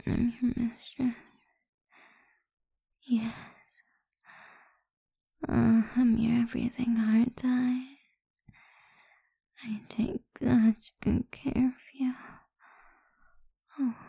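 Woman playing a possessive, cruel mistress, breathy whisper, gravelly, unhurried, flat delivery, muffled dry sound. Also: fairly high pitch, dry recording, exaggerated voice. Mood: taunting. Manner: slow, taunting and degrading. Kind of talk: whispered audio roleplay.

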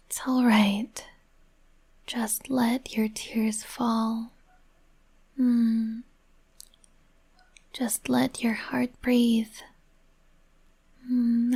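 Woman, slow breathy whisper, gentle comforting partner roleplay. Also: fairly high pitch. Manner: soft soothing whisper.

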